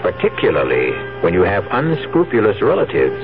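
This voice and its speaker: A man's voice: sits low, wildly swooping pitch, normal speed. A man hosting a radio mystery, narrating in an ominous, theatrical scripted style. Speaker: radio drama host. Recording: muffled vintage radio broadcast with music.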